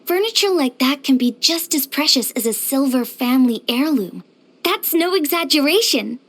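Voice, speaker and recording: A woman playing a cheerful, easygoing young craftswoman in a video game, with a gentle, chirpy, earnest manner. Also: sits high, animated, normal speed. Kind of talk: video game character voice acting.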